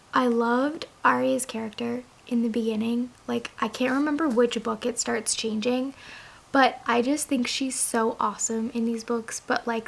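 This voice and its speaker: Hoarse young woman chatting casually. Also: a little high, a little hiss or hum. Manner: chatty, rambling, many "like" fillers. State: hoarse, raspy voice. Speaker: book vlogger. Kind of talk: casual chat to camera.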